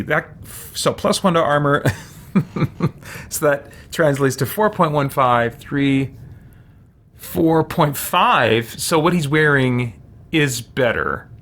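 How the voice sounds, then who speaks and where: A man's voice: mid-range voice, fairly bright tone. A man giving casual video game commentary, thinking aloud to himself in a hesitant, musing way. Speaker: host of a video game let's play.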